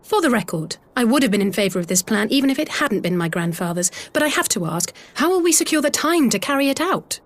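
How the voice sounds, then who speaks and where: A woman's voice: fairly high pitch, sing-song, fast talker, hardly any pauses. A woman playing an earnest young noblewoman in a fantasy game, frank, articulate and questioning. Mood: pointed, concerned. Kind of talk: scripted fantasy game acting.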